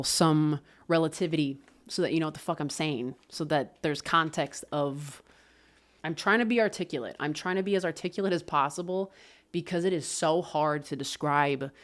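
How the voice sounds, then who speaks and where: A woman casually talking through an album review, loose and expressive, swearing and laughing often. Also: low-pitched. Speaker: music reviewer.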